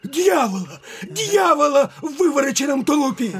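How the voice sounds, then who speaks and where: An actor playing an excitable, frightened, superstitious village watchman in a theatrical radio play, yelping and pleading. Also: extremely high pitch, moderate pace. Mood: excited alarm.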